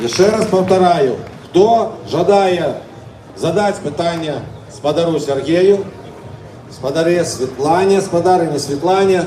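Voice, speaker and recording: A man's voice: on the high side, measured pace, mellow. A man moderating questions at a rally, announcing speakers and leading chants. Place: outdoor rally. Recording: echoey, from far off, crowd noise.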